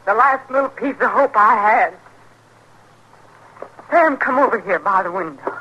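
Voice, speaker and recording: Slow-spoken, battered frontier wife. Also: female voice, fairly high pitch, lively intonation, bright, forceful tone. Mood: wounded, resigned. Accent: rural American western. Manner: slow, plain-spoken, rustic. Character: battered frontier wife, weary, wounded, grimly resolute. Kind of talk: old-time radio western acting. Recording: noisy old radio drama recording.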